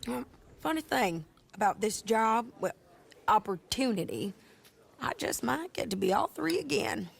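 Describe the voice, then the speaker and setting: An actress playing a bold, wry American bounty hunter in a western video game, with a creaky, sly drawl. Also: female voice, a little high, very animated, unhurried, exaggerated voice.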